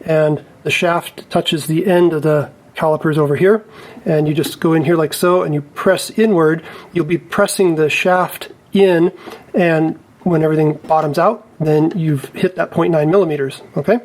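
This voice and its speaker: A man's voice: a little high, fairly even intonation, normal speed, a little mellow. A man giving a casual, step-by-step repair demonstration in a methodical, careful, explanatory way. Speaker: repair technician presenting a tutorial.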